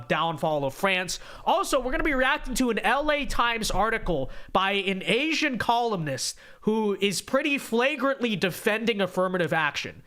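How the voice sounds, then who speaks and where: A young man hosting a live-stream political commentary show, talking fast and animated with frequent stammered restarts, his voice congested. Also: sits high. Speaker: host.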